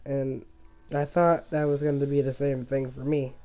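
A man with a mellow voice, a little worn from illness and a lingering cough, talks casually over his own gameplay. He stumbles and restarts his sentences often and chuckles at himself. Faint game music sits quietly behind him. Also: mid-range voice, level tone. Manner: halting, many restarts and fillers, laughs at himself.

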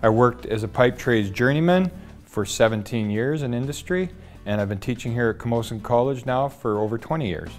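A man's voice: medium pitch, animated, brisk, a little mellow. A man in his fifties, a trades instructor, speaking steadily and explaining things in a studio interview on a lapel mic. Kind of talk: testimonial interview. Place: studio with dark backdrop. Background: faint guitar music.

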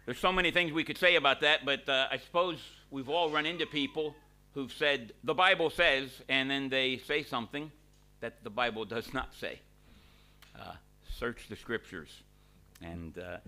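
A middle-aged man, a pastor, leading a church service from a lectern, praying and giving announcements in a relaxed, conversational way with many pauses and restarts. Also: medium pitch. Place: small church hall, at a lectern. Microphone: lectern microphone.

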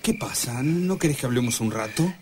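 A man's voice: mid-range voice, lively intonation, a little fast, background noise, natural voice. A man playing a lovestruck, evasive piano teacher with an Argentine accent in a comic radio soap. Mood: coaxing.

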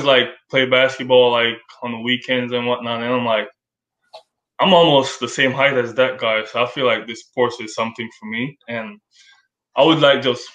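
Young jamaican guy, laid back, says like a lot, bright voice, echoey room. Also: medium pitch, dull audio. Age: young adult. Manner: relaxed, lots of "like" fillers. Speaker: college basketball player, guest. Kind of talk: casual interview.

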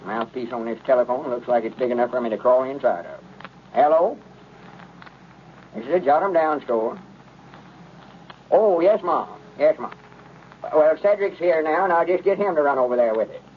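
A man's voice: medium pitch, moderate pace, long pauses, close to an everyday voice. Man playing a rustic storekeeper on tinny old radio. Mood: busy, obliging. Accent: rural Southern US. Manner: rustic, homespun talk. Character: earnest, folksy old country storekeeper man. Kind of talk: 1930s radio comedy acting. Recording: narrow, noisy old radio recording.

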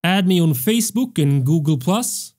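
A young man hosting a music production tutorial, explaining step by step in a measured way into a close studio mic. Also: high voice, animated, a little mellow. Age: young adult. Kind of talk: tutorial narration. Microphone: close studio microphone on a stand.

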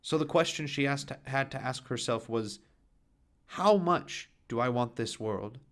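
Radio play, grave, thoughtful man: measured monologue, halting weighty phrases, middle pitch. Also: expressive, a bit bright. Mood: grave, pondering. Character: grave, thoughtful man recounting a woman's dilemma.

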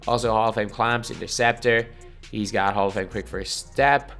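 A young man talks his way through a basketball video game in a hoarse, worn-out voice, rambling and energetic. He keeps circling back with filler phrases. Faint game music plays underneath. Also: on the low side, moderate pace. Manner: rambling, energetic, repeats "so anyway". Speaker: gaming YouTuber. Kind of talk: casual video game commentary.

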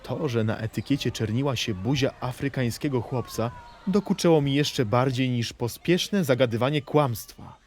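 A man playing a wistful poet reciting memories and verse slowly and dramatically in a literary radio play. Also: mid-range voice, a little hiss or hum, natural voice. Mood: wistful nostalgic. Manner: slow, dramatic, dropping at times to hushed tones.

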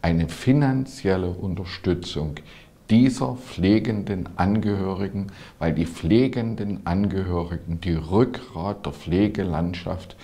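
A man in his forties giving a measured, prepared policy statement to camera as a politician. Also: low-pitched, mellow. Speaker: party politician. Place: small indoor video studio. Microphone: lapel.

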